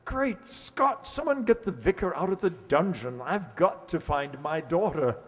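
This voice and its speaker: A man playing a genial, exclamatory lord with a husky voice in an amateur radio play. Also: a little high, animated, measured pace. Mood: alarmed. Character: excitable, genial English lord and host. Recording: reverberant hall-like radio play recording.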